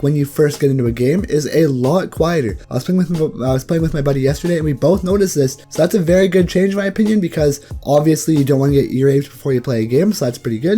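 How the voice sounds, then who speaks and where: Young gamer guy with the flu, voice a bit high but mellow, chatty, faint music behind. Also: slightly rough. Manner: chatty, addresses viewers as boys. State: sick with the flu, voice off. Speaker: gaming YouTuber. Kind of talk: casual gaming update commentary.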